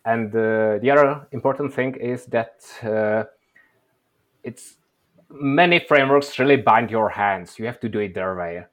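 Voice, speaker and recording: A man from the Czech Republic with a Czech accent and Czech as his native language, answering interview questions in a measured, thoughtful way. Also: mid-range voice, a bit bright. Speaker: interview guest, workshop presenter.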